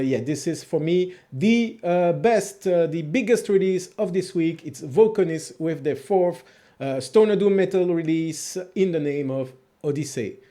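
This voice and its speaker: The host of a small fan review channel, a French man with a French accent and a high voice, eagerly and casually sharing his picks with subscribers. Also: warm tone. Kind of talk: casual video review, talking to viewers.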